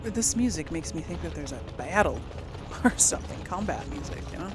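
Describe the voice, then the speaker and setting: A woman's voice: low voice, expressive, brisk. A woman hosting a casual role-play gaming video, talking in an easygoing way to herself and her audience. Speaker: gaming host playing and commenting. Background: game music.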